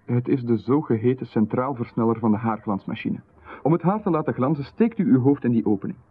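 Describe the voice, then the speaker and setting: A polite, insistent visitor who talks at length, his husky Flemish voice pitched at an everyday middle. It comes through a thin, phone-like band, like an old radio drama broadcast. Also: lively intonation, fast. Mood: smooth. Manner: polite, explaining at length with technical jargon. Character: smooth-talking male visitor, middle-aged, insistent and eerie.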